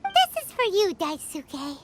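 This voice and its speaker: A woman voicing a tiny, cheeky, childlike fairy companion in a video game, squeaky and cutesy. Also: wildly swooping pitch, slow, very noisy, exaggerated voice. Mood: gentle. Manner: squeaky, cutesy, refers to self by name. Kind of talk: animated video game voice acting.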